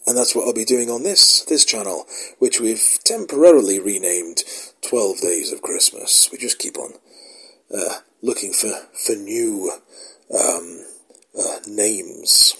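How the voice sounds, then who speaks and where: Hoarse man rambling casually. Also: fairly low pitch, lively intonation, measured pace, pushed and bright, poor audio. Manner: meandering, self-deprecating, many fillers and restarts. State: hoarse, losing his voice with a cold. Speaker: podcast host.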